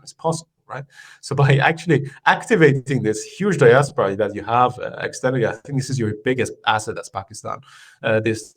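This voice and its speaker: A man from Iran, a podcast guest, talking in an unhurried, conversational way with frequent fillers and restarts. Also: mid-range voice. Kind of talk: conversational interview.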